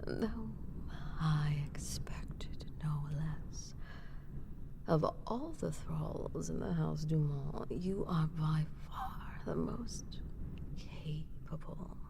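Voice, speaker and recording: Woman acting a slow, whispery vampire matriarch. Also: lowish voice, a little mellow, a little hiss or hum. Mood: indulgent, condescending. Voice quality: breathy, whispery, creaky. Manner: haughty, languid, formal aristocratic phrasing. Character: imperious ancient female vampire matriarch, condescending yet indulgent. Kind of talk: scripted roleplay audio drama, ASMR-like intimacy.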